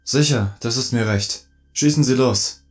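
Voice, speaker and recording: A man playing a bitter, enslaved robot with a measured, accusing manner in a scripted audio drama. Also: medium pitch, faint music behind, lightly acted. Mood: weary, hollow. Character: bitter, enslaved male robot turning vengeful.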